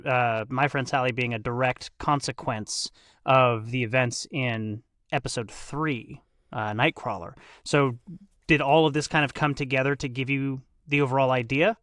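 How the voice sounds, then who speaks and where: A man's voice: mid-range voice, fairly even intonation, normal speed, fairly bright tone, no room sound, studio quality. A man hosting a conversational podcast interview in a courteous, careful way, clarifying things for listeners. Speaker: podcast host.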